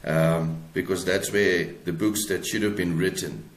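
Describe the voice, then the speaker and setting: Male interview guest, South African accent, low-pitched, thoughtful and halting delivery, echoey room. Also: fairly even intonation, slight background noise. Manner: halting, repeats words as he searches for phrasing. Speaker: guest author. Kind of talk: reflective interview talk. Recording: roomy, echoing sound.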